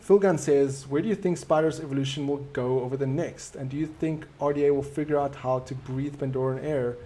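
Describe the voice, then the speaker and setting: A man's voice: medium pitch, steady tone, brisk, warm tone. South African man hosting a live chat. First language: Afrikaans. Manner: reflective, easygoing and conversational. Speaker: live stream host. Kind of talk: casual live stream chat reading viewer comments.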